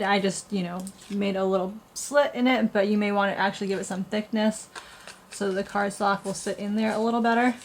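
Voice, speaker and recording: A woman's voice: medium pitch, a little hiss or hum. A woman who is getting over a cold, walking through a paper craft tutorial with plain, patient, step-by-step instructions. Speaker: craft blogger.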